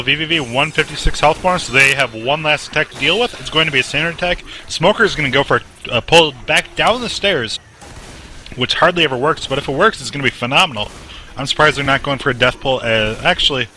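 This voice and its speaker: A man calling a competitive video game match play by play, brisk and teasing toward his co-caster. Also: fairly high pitch, bright, forceful tone, slight background noise. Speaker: esports commentator. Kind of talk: live play-by-play commentary.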